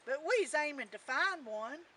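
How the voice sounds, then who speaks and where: A woman's voice: sits high, expressive, a little slow, pushed and bright, far from the mic, slight background noise, exaggerated voice. A woman voicing a friendly, reassuring lad in a storybook folktale, in folksy rural dialect. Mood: curious.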